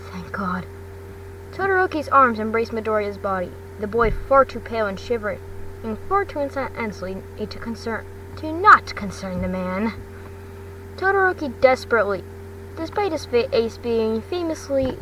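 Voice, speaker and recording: A woman reads fiction aloud in a fairly high voice. Her delivery is halting, often stumbling and correcting herself. She sits a little away from the microphone, with faint noise behind her. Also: a bit bright, recorded from a distance, low-quality recording. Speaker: fan fiction reader. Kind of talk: amateur audiobook-style story narration.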